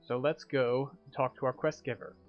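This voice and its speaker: A man's voice: mid-range voice, fairly even intonation, normal speed, dull audio, soft background music. A man narrating a video game walkthrough as a tour guide, explaining each step. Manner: explanatory, guiding.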